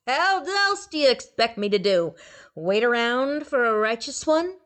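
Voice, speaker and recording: A woman's voice: on the high side, animated, a little slow, fairly bright tone, voice pushed up. Woman voicing a undead female marsh ghoul, gurgling, crude, mocking, raised voice, storybook. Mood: bitter.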